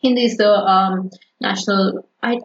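A woman from Pakistan whose first language is Urdu, chatting casually as a podcast guest and pausing often with fillers and restarts. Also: medium pitch, steady tone, a little hiss or hum. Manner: hesitant, many fillers and restarts. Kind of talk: casual conversation. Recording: dull lo-fi podcast audio.

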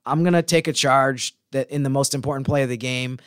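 A man's voice: mid-range voice, steady tone, fairly quick, clear, resonant tone, no room sound, clean studio sound. A man co-hosting a sports podcast, explaining plays in casual, conversational analysis.

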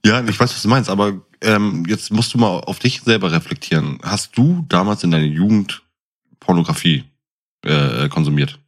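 A man's voice: sits low, lively intonation, moderate pace. A man chatting casually as a podcast co-host, explaining and asking probing questions.

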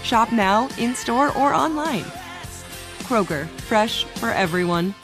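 Woman ad announcer, slightly high voice. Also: lively intonation, slow. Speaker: advertising announcer. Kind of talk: promotional ad read. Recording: music bed behind voice. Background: music.

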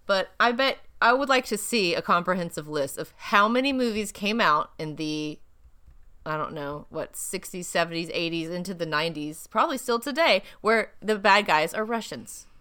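Woman podcast co-host, sounds tired. Bright tone, very animated pitch, rambling delivery. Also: moderate pace, clear, resonant tone. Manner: rambling, laughs often. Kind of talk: casual chat.

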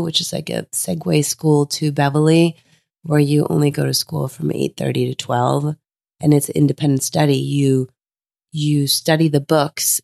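Woman guest from Sweden, low creaky voice, candid storyteller, a bit under the weather. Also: a little mellow, dry recording, studio quality. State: unwell, lower voice. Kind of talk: casual interview conversation.

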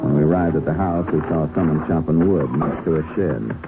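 A very low-voiced American lawman, calm and methodical, speaking in terse, matter-of-fact lines. It is a 1950s radio crime drama, with a narrow, telephone-like sound. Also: brisk, over music. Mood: narrating evenly. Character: calm, methodical Texas Ranger investigator and narrator. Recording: muffled, narrow-band 1950s radio recording.

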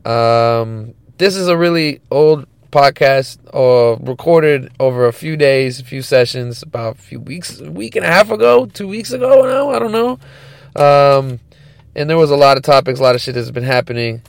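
A man's voice: medium pitch, moderate pace, creaky, clean tone, no room sound. A congested man hosting his own podcast, talking in loose, laid-back slang with lots of fillers. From California. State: stuffed up, congested. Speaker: podcast host. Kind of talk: casual chat.

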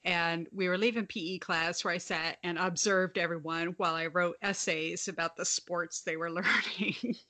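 A woman's voice: on the low side, steady tone, normal speed, hardly any pauses, pushed and bright. A young adult woman with a slight lisp, telling stories frankly in a casual podcast chat and swearing freely. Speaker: podcast co-host.

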